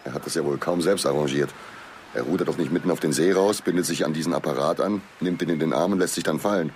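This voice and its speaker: Brief: male detective, sardonic, extremely low voice, rattles lines off quickly, radio play. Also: darkish tone, slight background noise. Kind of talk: radio drama acting.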